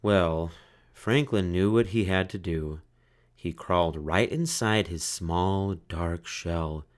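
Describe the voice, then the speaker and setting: A man's voice: low voice, slow. A man reading a children's storybook aloud as narrator, in scripted storytelling style.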